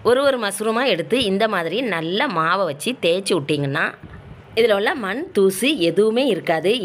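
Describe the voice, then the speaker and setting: Female home cook, southern Tamil Nadu accent, slightly low pitch, plain colloquial instruction for a cooking tutorial. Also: fairly bright tone. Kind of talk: step-by-step recipe narration.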